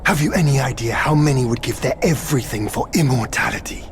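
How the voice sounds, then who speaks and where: An actor playing a scornful celestial warrior who declaims against the monkey in a game cutscene. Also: male voice, mid-range voice, lively intonation, fast talker, noisy background, lightly acted. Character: scornful male celestial warrior, arrogant and zealous. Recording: game cutscene over clear orchestral music.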